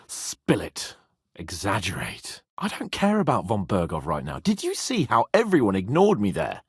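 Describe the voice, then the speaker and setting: A cocky young medieval lord for a video game, proud and quick to mock. His pitch swings hugely from phrase to phrase, and the voice has a slightly husky edge. It sounds close and dry. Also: dry recording, full character voice. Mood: teasing. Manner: cocky, sardonic, swearing, expressive. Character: brash, teasing young medieval nobleman, proud and hot-headed. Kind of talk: video game voice acting, dramatic dialogue.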